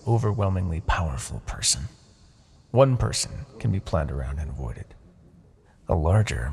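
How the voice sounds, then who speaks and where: A man playing a smug, seductive, possessive Demon King in a fantasy ASMR roleplay, with a gravelly, breathy voice and theatrical, taunting delivery. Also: sits low, heavily acted. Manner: theatrical, taunting, drawn-out phrasing with soft asides.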